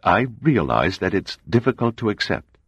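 A man voicing a calm male intelligence official who speaks impassively and evasively. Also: low-pitched, moderate pace, husky, no room sound, pitched above the performer's own voice, heavily acted.

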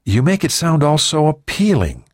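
A man's voice: lowish voice, big pitch swings, moderate pace, dry recording, studio quality. A man voicing a confident, terse and wry team leader in an audiobook adventure. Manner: terse, wry, matter-of-fact.

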